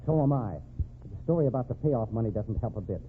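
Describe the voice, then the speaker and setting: An actor playing a slick, defensive American gangster lawyer in an old-time radio drama, argumentative and evasive. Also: male voice, medium pitch, expressive, fast, phone-quality sound, lightly acted. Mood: pleading, testy. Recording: thin, band-limited, noisy old radio recording.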